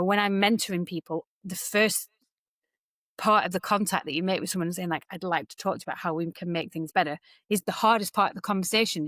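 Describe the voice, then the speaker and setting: A woman's voice: lowish voice, no echo. A woman in her forties from the UK, a guest entertainer talking candidly in an interview and acting out quoted voices. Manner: candid and animated, quotes others in lively voices. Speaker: guest entertainer and talent mentor.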